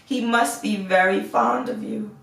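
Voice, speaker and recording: A woman's voice: on the high side, steady tone, measured pace, gravelly, fairly bright tone. A woman voicing a kindly older father in a storybook, mild and reassuring. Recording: echoey room, distant mic.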